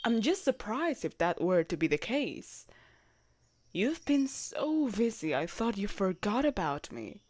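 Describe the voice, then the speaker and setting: Young female knight commander, played by a woman, audio roleplay. Formal, loyal, easily flustered; unhurried delivery, lively intonation. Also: mid-range voice, no echo, studio quality. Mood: composed, courteous. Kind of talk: roleplay audio drama acting.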